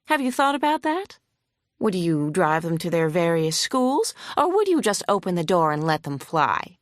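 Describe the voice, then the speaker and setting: A woman's voice: fairly low pitch, big pitch swings, normal speed, no echo, clean studio sound. A woman voicing a huffy, sarcastic female lawyer cross-examining a witness in an audiobook. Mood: huffy, badgering.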